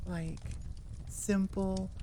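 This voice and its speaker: A woman's voice: mid-range voice, little pitch movement, extremely slow, warm tone, a little hiss or hum. A woman chatting casually and enthusiastically to her listeners as an audio creator, rambling off on tangents.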